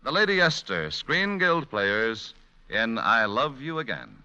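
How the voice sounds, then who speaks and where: A man's voice: medium pitch, sing-song, unhurried, sharp tone, smooth. A man announcing and narrating a comedy in the scripted style of 1940s radio. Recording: dull, lo-fi vintage radio recording. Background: music.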